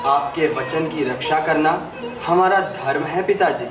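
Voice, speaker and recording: An actor playing a respectful, obedient young prince in a mythological drama, speaking formally and with reverence. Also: male voice, a little high, measured pace, natural voice. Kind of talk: theatrical Hindi mythological audio drama. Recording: roomy distant playback with music behind.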